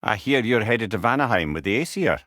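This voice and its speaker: A man playing a wise, witty older companion in a video game, with a Scottish accent and a wry, avuncular, expressive manner. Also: on the low side, brisk, no room sound, studio quality. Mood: reflective. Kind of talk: video game character dialogue.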